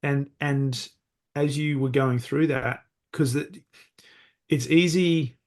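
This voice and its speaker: A man's voice: mid-range voice, takes it slowly. Thoughtful male podcast host. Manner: thoughtful, repeats words as he builds questions. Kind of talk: interview questions, conversational.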